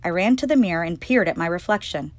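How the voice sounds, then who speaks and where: A woman hosting storytime, reading a children's picture book aloud with expressive, clearly phrased delivery. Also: lowish voice, fast, no room sound. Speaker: storytime reader and host.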